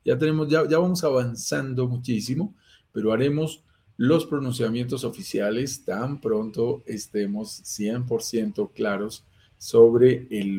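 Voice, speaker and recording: A man's voice: on the low side, mellow. A middle-aged Colombian man with a Colombian accent, hosting a live real estate investment stream, earnest and full of "eh" fillers. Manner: earnest, lists synonyms, frequent "eh" fillers. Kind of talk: livestream presentation to viewers.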